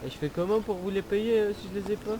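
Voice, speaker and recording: Man acting a cheeky detainee, high voice, whining, mock-polite, game roleplay, faint noise behind. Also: fairly even intonation, quick pace, natural voice. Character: cheeky, whining male troublemaker under arrest, mock-polite. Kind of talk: improvised online roleplay.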